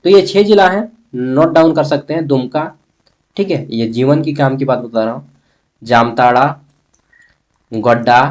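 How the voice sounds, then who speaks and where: A man's voice: on the high side, a little mellow. A man with a Jharkhand Hindi accent giving an informal exam-prep lesson as a teacher, conversational, repeating key terms and answering his own questions. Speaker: history teacher.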